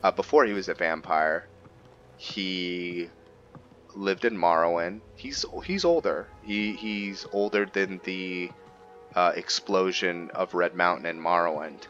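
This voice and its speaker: Low-voiced man doing halting game commentary. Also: a bit bright, lo-fi sound. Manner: hesitant, pausing mid-sentence as he thinks aloud. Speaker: game streamer narrating a roleplay playthrough. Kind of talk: casual let's play commentary. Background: game music.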